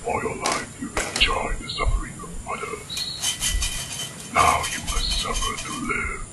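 Casting: sadistic horror captor, slow menacing whisper, distant and echoey. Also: male voice, very high-pitched, fairly even intonation. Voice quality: whispery, unvoiced. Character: menacing, sadistic captor playing a deadly game. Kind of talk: horror film parody. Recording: distant, echoey, noisy playback.

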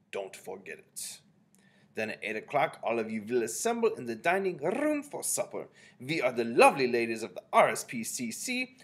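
Brief: male reader as furious grand witch, loud, commanding, raised swooping pitch, husky. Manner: shouting, commanding, drawn-out menacing delivery. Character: imperious, furious female grand high witch, tyrannical leader.

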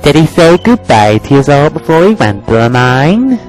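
A man's voice: a little high, big pitch swings, moderate pace, darkish tone, faint music behind, voice pushed up, low-quality recording. A man voicing a sly, bossy male train engine in a children's story, taunting and bullying. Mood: mocking.

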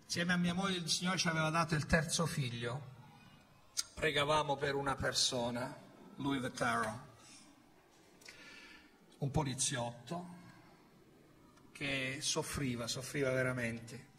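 A bright, forceful male preacher in his fifties, speaking slowly into a pulpit microphone in a church hall. He swings from loud exclamations down to hushed storytelling, and a faint hiss of ambient noise sits under the voice. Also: mid-range voice. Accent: Italian. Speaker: pastor. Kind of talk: sermon. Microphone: pulpit microphone on a stand.

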